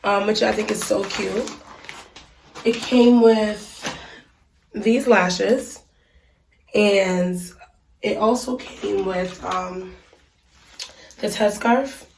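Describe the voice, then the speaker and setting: A woman's voice: medium pitch, steady tone, drawn-out words, vocal fry, pauses often, darkish tone, slight background noise. A woman with a stuffy voice from a cold, chatting casually through a hair unboxing review with lots of ums and likes. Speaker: beauty vlogger reviewing hair. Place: small bathroom. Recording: echoey room, mic at a distance.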